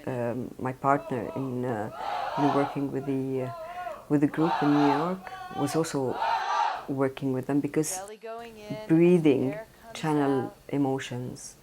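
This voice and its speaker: A woman theatre director talking earnestly in an interview, pausing often with fillers. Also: very deep voice, fairly even intonation, heavy background noise. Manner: earnest, halting with frequent fillers. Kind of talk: documentary interview.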